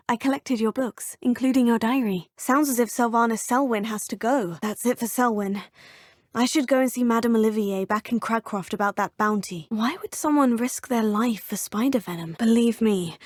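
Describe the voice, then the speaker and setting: A courteous teenage witch student from a fantasy video game. Her voice sits fairly high and has a soft, breathy edge. The recording is bone-dry studio sound with no room around it. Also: no room sound. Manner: courteous and composed, often saying sir. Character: polite, curious teenage witch student, steady and earnest. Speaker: game player character.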